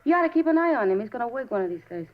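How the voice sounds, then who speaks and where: A woman's voice: a little high, sing-song, rapid-fire. An American actress playing a flippant, slangy young woman in a 1950s radio drama, bored and scornful. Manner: offhand, sassy, slangy. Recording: dull 1950s radio recording.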